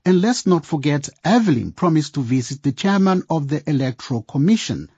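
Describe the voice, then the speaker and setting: Radio drama presenter: man, very lively intonation, declamatory, mellow tone, slightly dull dry recording. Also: a little high, fairly quick, husky, no room sound. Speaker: narrator and presenter. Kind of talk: scripted radio drama narration.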